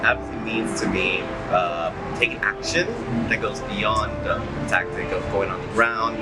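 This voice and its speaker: A man giving a thoughtful interview answer as a community organizer, pausing with uhs as he finds his words. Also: fairly low pitch, steady tone, vocal fry, over loud music.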